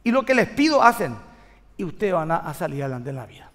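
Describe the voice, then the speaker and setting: A man in his forties with a Paraguayan accent, preaching a sermon on a church stage, acting out dialogue with lively gestures. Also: a little high, quick pace. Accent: Paraguayan Spanish. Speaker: pastor. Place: large church stage with live congregation. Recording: church hall reverb.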